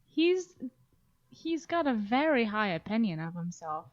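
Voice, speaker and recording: A woman's voice: on the high side, very animated, unhurried, dull audio. A woman co-hosting a podcast in casual banter, jokey, informal and free with swearing. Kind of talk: casual banter about a story.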